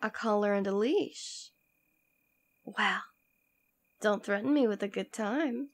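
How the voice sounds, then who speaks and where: A woman's voice: medium pitch, slow, close to an everyday voice. A woman playing a devoted, teasing demon queen in an ASMR roleplay, speaking intimately and tenderly, often dropping toward a whisper. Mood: playful, teasing. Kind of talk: ASMR roleplay audio drama.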